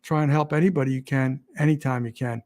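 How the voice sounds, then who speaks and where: A man hosting a casual livestream, rambling and halting with many restarts, his voice getting raspy. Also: medium pitch, fairly even intonation, darkish tone. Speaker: YouTube channel host.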